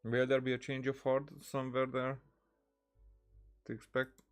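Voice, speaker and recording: A woman's voice: very deep voice, level tone, unhurried, soft background music. A woman giving casual, dry and terse commentary as she plays a game. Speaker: game streamer. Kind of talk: casual commentary over gameplay.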